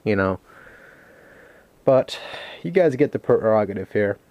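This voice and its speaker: A man's voice: on the low side, animated, measured pace, no room sound, lo-fi sound. A man doing a casual, unscripted show-and-tell vlog in a rambling, hesitant, self-deprecating way. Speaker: video game collector, vlogger. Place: a room at home.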